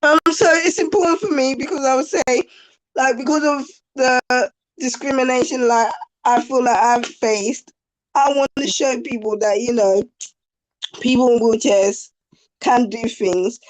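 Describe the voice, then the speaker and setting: A woman guest with a slightly croaky voice, chatting casually over a video call and laughing easily. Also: high voice, steady tone, unhurried, slight background noise, low-quality recording. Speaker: interview guest.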